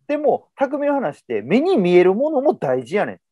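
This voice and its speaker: A man with a Kansai accent hosting a casual video-call interview, summing up ideas in a relaxed way and gesturing as he talks. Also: sits high, normal speed, no room sound. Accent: Kansai Japanese. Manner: relaxed, summarizing, gestures while talking. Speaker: host. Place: indoors at home.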